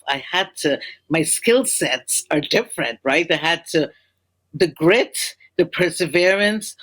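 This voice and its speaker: A woman's voice: low voice, low-quality recording. A woman from Guyana, a school leader, talking as a guest in an interview and often repeating words while she thinks. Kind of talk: interview conversation.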